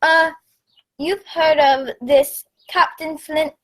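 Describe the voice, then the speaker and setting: A woman acting a composed, inquisitive gentleman doctor in a radio play, formal and polite. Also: high voice, steady tone, takes it slowly. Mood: intrigued.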